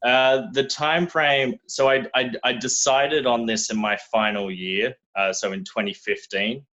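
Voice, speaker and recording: A young Australian man with an Australian accent, telling his story in a relaxed, casual interview, often restarting his sentences. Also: mid-range voice, a little slow, dull audio. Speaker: guest.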